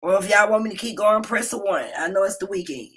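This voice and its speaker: A woman hosting a casual livestream, telling stories in a loud, profane and animated way. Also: quick pace, husky. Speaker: livestream host. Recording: low-quality phone stream.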